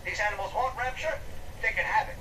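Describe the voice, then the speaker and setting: A man's voice: sits high, fairly quick, bright, forceful tone. An embattled, paranoid survivor in a game audio log, agitated and raising his voice. It is reverberant and distant, with obvious noise. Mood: agitated, defiant. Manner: agitated, raised voice. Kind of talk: video game audio diary acting. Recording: echoey, distant, noisy game playback.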